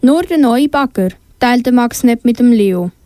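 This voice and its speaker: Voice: mid-range voice, expressive, normal speed, warm tone, no echo. A young female narrator reading a scripted children's audio story.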